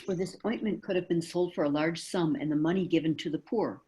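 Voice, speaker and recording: A woman's voice: fairly low pitch, fairly quick, a little mellow, husky. A woman reading the parts of questioning followers in a dramatic scripture reading over a video call. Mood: indignant. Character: questioning followers and plotters in the Passion story. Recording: home video-call recording.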